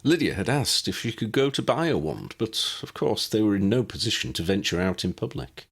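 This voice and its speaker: Low-pitched husky man reading a story. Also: very animated, a little fast. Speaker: audiobook narrator. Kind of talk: scripted story narration.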